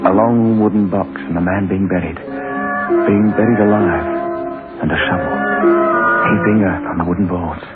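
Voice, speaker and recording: A man's voice: sits low, fairly even intonation, moderate pace, long pauses, close to an everyday voice. An actor playing an anxious, guilty working-class husband in an old-time radio thriller, stammering and pleading as he tells of his dread. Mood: haunted narration. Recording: tinny old radio broadcast, music behind.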